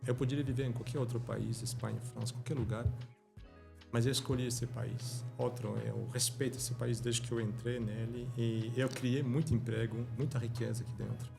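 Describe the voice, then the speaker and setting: Gravelly middle-aged man. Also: medium pitch, steady tone, moderate pace, music in the background. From France. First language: French. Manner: earnest and emphatic, frequent repeated words. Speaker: podcast guest, entrepreneur. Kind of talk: casual chat.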